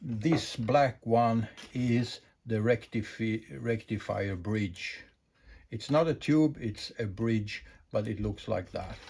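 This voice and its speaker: A man's voice: on the low side, a little mellow, slightly rough. A man who is not a native English speaker gives an informal, unscripted technical explanation with frequent hesitations. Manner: halting, unscripted, with long hesitations. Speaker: presenter explaining audio equipment.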